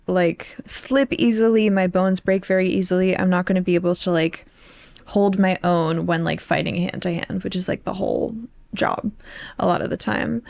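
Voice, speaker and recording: A young woman with an average-pitched voice talks casually as a podcast co-host. Her delivery is informal and halting, full of little restarts, like chatting with friends about a book. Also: creaky, darkish tone. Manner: informal, halting, filler-heavy "like".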